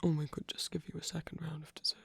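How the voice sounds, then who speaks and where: A performer voicing a soft-spoken villain in an intimate romantic ASMR roleplay, gentle, protective and hesitant, with a breathy, husky, often whispered delivery. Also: medium pitch, flat delivery, racing, no echo. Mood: gentle, caring. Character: soft-spoken villain, tender and protective toward abandoned hero.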